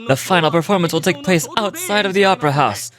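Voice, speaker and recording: A man's voice: on the high side, fast, fairly bright tone, soft background music, lightly acted. An actor playing a charming young showman announcing a magic show in a video game. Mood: formal, showy.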